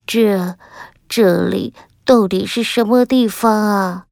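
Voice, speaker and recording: A woman's voice: medium pitch, slow, vocal fry, a little mellow, dry recording, clean studio sound. A woman voicing a brave, lovesick little male tin soldier toy in a children's audio story, speaking in an earnest, tender, plaintive way. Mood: forlorn.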